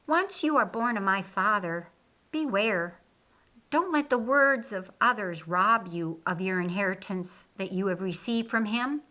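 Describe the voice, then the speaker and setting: A woman voicing a calm, assured male religious teacher in an audiobook, speaking deliberately and stressing key words. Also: mid-range voice. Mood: solemn teaching. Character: Jesus, a man, calm, assured, kindly teacher. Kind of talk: audiobook character dialogue.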